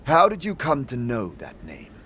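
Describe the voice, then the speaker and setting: A man's voice: mid-range voice, wildly swooping pitch, moderate pace, a bit bright, a little hiss or hum, voice pushed up. A man voicing a stern, hostile female alien warrior in a fantasy audio drama, speaking in a formal, archaic and accusatory way. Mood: guarded, grave.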